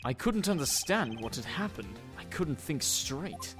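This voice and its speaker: A man acts a serious male prosecutor in a game dub, measured and earnest. The voice is mid-pitched, with faint music underneath. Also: very animated. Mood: troubled. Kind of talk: video game fandub acting.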